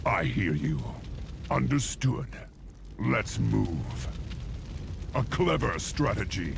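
An actor playing a resolute fantasy game warrior, giving terse, declamatory battle responses in a gravelly voice. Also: male voice, on the low side, very animated, slow, background noise, full character voice. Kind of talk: fantasy game unit barks. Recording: loud game music behind voice.

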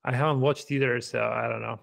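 A man in his thirties speaks with a Bulgarian accent, his answers quiet and considered. His voice has a touch of gravel, heard through a desk mic on a video call from home. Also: medium pitch, fairly even intonation, a little fast. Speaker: guest software engineer. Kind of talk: casual video-call interview. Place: living room at home. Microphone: desk microphone on video call.

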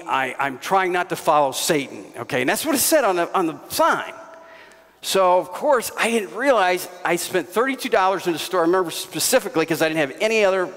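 A middle-aged man preaching a storytelling sermon on a church stage, speaking through a headset mic with animated delivery and sudden raised bursts. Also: on the high side. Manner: animated, gestures widely, bursts into raised shouts. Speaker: pastor. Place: church stage before a congregation. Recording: long hall reverb.

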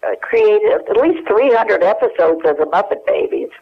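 An American woman in her eighties reminiscing casually and haltingly as an interview guest over the telephone. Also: mid-range voice, lively intonation, breathy edge. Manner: halting, searching for memories. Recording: dull, lo-fi telephone hook-up.

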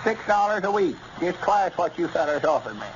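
An actor playing a cranky, proud old grandpap, stubborn and folksy, with a rural Arkansas accent in an old radio comedy. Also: male voice, high voice, lively intonation, a little fast, pitched above the performer's own voice. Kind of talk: 1930s-40s radio comedy acting. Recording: muffled, noisy old radio recording.

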